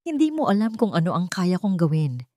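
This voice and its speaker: A woman's voice: fairly low pitch, sing-song, fairly quick, dark tone, dry recording, clean studio sound. A woman voicing a cruel, menacing husband in a dramatic story, with heavy, cutting words. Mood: seething. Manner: heavy, cutting, threatening delivery.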